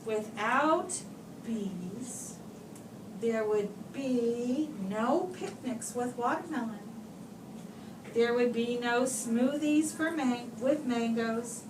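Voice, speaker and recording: A woman reading a children's picture book aloud like a teacher, with expressive, deliberate phrasing and pauses for young listeners, recorded at a distance in a room. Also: a little high. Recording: roomy, distant microphone, clear background noise.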